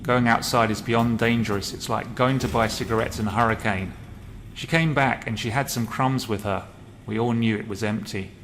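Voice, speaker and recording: A man's voice: medium pitch, steady tone, normal speed. A man narrating a game role play and reading journal entries aloud. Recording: loud background noise.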